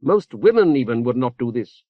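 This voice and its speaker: A man's voice: mid-range voice, sing-song, moderate pace, dry recording, phone-quality sound, natural voice. A man reading an audiobook voices an imperious foreign female ruler who orates in broken, ungrammatical English. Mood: scornful. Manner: grand, speechmaking, ungrammatical English.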